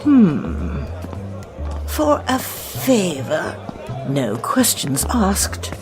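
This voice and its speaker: Sly old witch, really slow, voice swoops all over, formal, radio play. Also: on the low side, wildly swooping pitch, music in the background, crowd noise, exaggerated voice. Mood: sly, measured. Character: cunning old witch, courtly, sly dealmaker. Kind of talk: audio drama acting.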